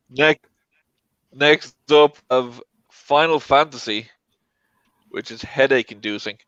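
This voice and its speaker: A man hosts a casual film debate show. His voice sits at a middle pitch. The recording is dull and dry, like an online call. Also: takes it slowly, a bit bright, no echo. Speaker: show host. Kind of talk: casual panel debate about films.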